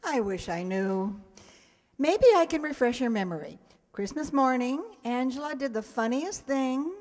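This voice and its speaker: A woman playing a wry, patient, gently sarcastic schoolteacher in an old-time radio comedy. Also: sits high, animated, unhurried. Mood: dry, patient. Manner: dry, wry delivery. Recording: very reverberant hall sound.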